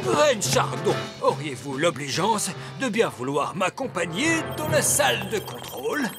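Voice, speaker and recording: A high, swooping elf inventor in a children's cartoon. He is pompous and exact, giving out rules with grave formality, and his creaky voice sits over music. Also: very animated, measured pace, exaggerated voice. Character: pompous, serious older male elf inventor and commander. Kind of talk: animated children's cartoon dub. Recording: music bed behind the voice.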